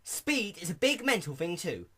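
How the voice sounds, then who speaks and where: A brash young female pony from a cartoon story, acted by a man. The voice is pushed high and bright, swinging widely, loud and boastful in every phrase. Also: big pitch swings, normal speed, slightly rough, full character voice. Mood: upbeat, confident. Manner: loud, cocky, emphatic.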